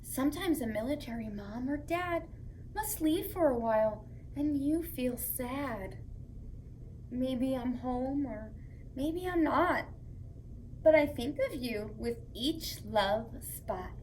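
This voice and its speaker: Woman, children's story-time host, high voice, unhurried delivery, playful and expressive, some background noise. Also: poor audio. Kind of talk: animated read-aloud for young children.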